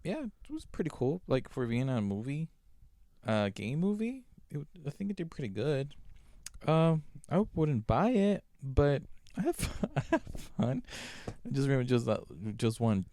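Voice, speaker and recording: Mellow man with lively intonation. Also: medium pitch, moderate pace, dry recording. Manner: slangy, profane. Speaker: podcast co-host. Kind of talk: casual chat.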